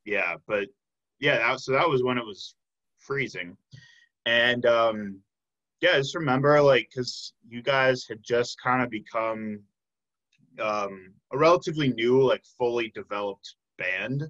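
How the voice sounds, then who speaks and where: A man's voice: mid-range voice, unhurried, a bit bright, dull audio. A man hosting a casual interview, chatting in a friendly, informal way. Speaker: podcast host.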